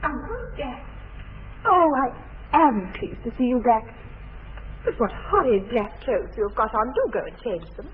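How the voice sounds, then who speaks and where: Sweet young English lady, artlessly witty, formal, animated pitch, tinny period radio drama. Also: moderate pace, pauses often. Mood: eager, pleading. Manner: polite, precise, earnestly artless, formal. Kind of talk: period comedy radio acting. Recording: tinny, band-limited old radio sound, faint noise.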